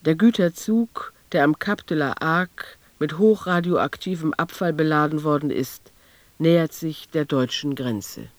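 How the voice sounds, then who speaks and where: A man's voice: fairly high pitch, a little slow, no echo, lightly acted. A man performing as a sober, precise narrator in a literary radio play. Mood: sober, observant.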